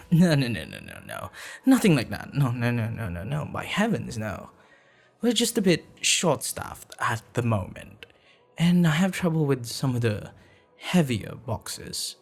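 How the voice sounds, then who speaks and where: A man's voice: medium pitch, creaky, darkish tone, husky, exaggerated voice. A man playing a courteous, flustered, deferential storekeeper who repeats himself in an ASMR audio roleplay. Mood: flustered, apologetic. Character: polite, flustered, deferential male storekeeper, an assassin's disguise.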